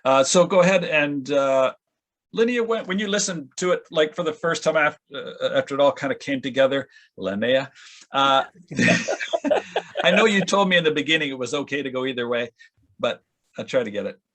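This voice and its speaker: A man hosts an informal discussion over a video call in a medium-pitched voice. He is hesitant, stumbling into restarts and feeling his way from one phrase to the next. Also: expressive, moderate pace, a bit bright. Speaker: session host. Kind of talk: casual hosting of a discussion.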